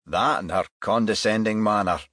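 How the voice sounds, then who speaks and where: A man's voice: fairly low pitch, moderate pace, fairly bright tone, no echo, clean studio sound, voice pushed up. A man voicing a blunt, derisive male police sidekick in a crime audiobook, colloquial in manner.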